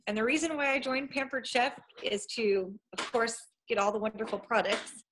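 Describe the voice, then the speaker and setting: A woman in her forties giving a slow, self-deprecating introduction on a video call. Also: on the high side, background noise. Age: 40s. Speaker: new consultant.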